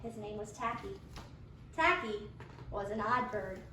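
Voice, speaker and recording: A woman's voice: mid-range voice, animated, a little slow, pushed and bright. A woman narrating a scripted children's storybook as part of a readers' theater. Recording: echoey room, distant, faint noise.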